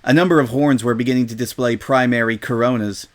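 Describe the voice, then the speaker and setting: A man's voice: mid-range voice, steady tone, quick pace. A man reading a scripted comic story aloud as its narrator, in dramatic storytelling style.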